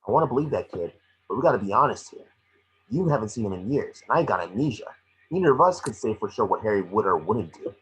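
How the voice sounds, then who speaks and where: A man's voice: on the low side, sing-song, fast talker, fairly bright tone, slight background noise, low-quality recording. A man reading the part of a wisecracking creature detective with amnesia in an online script table reading. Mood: pondering.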